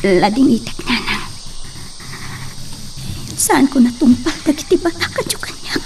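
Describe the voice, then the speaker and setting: A woman acting a heartbroken, distrustful young woman in a romantic drama, with drawn-out emotional delivery. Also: high voice, lively intonation, distant mic, noisy background. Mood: anguished. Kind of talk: Ilocano romantic radio-style drama acting.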